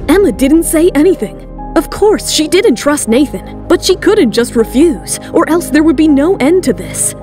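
A woman's voice: high-pitched, expressive, moderate pace, warm tone. A woman reading scripted, dramatic third-person narration for an audio drama. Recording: music bed under the voice. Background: background music.

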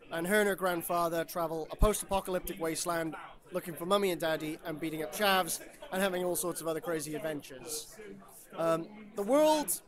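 A man from Wales, a comic creator chatting casually about his book at a convention. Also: high voice. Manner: loose, rambling, with fillers and dry jokes. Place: convention floor. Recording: busy convention crowd behind.

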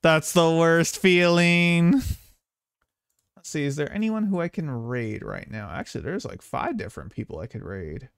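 A man's voice: a little high, sing-song, normal speed, vocal fry, smooth, dry recording, studio quality. A man chatting casually to viewers as a game streamer, rambling along and swearing now and then. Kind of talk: casual livestream chat to viewers.

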